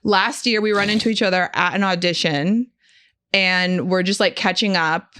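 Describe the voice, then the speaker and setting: A woman's voice: lowish voice, steady tone, normal speed, a bit bright, no echo, clean studio sound. A woman in her thirties, a comedian guest, chatting casually and emphatically, acting out quoted dialogue as she tells stories. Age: late 30s. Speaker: stand-up comedian, podcast guest. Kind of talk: casual chat, storytelling.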